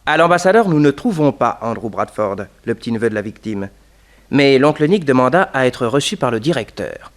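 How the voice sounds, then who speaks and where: A man's voice: medium pitch, lively intonation, a little fast, natural voice. An actor playing a young male sidekick who narrates his detective uncle's case in a 1960s French radio drama. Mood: narrating.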